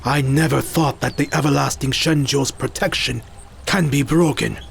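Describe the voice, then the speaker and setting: A man's voice: medium pitch, normal speed, vocal fry, a little hiss or hum. A man playing a loyal, solemn village musician who speaks formally and earnestly in an audio drama.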